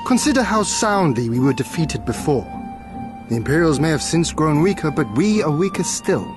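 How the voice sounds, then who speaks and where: An actor playing a wry, resolute young samurai lord in a fantasy game, with formal, archaic, courtly speech. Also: male voice, fairly high pitch, big pitch swings, moderate pace, music in the background. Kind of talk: fantasy game cutscene acting.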